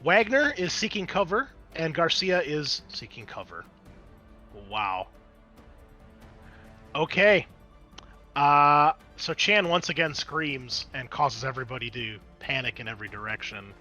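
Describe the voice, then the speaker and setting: A man's voice: a little high, lively intonation, measured pace. A man acting as game master of a tabletop roleplaying game, talking casually and muttering asides as he works the game. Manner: casual swearing, muttering asides while working the game software. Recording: music behind the voice. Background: music.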